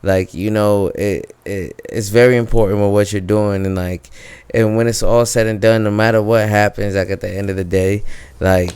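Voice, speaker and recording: A man's voice: lowish voice, fairly even intonation, moderate pace, darkish tone, clean tone, no echo. A man hosting a podcast, hyping his guest in a loose, slangy way with lots of fillers. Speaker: podcast host. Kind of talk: casual podcast interview.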